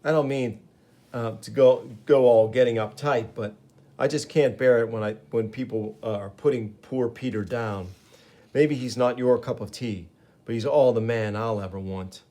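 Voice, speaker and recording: A man's voice: mid-range voice, fairly even intonation, normal speed, dark tone, natural voice. Brief: man playing a devoted young woman, earnest and a little flustered. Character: devoted young woman, protective of her boyfriend.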